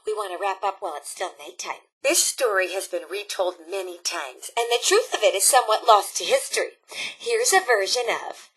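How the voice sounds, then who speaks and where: A woman voicing a cheery, motherly elderly robot scout leader in a video game, with a folksy American Upper Midwest accent and a husky edge. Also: mid-range voice, expressive, normal speed, fairly bright tone, low-quality recording. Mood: storytelling. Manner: folksy, chirpy, sing-song, fussing over campers. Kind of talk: video game character acting.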